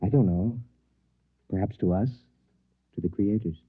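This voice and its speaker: An actor playing a humble, thoughtful professor in a 1950s radio drama, speaking gravely and formally. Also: male voice, fairly low pitch, a little slow, no echo. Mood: reflective. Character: humble, reflective male professor and scientist. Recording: muffled old radio recording.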